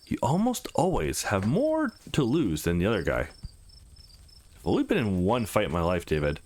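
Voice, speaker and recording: Man reading as a stern, low-voiced fighting mentor. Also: huge pitch swings, normal speed, darkish tone. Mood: grave, warning.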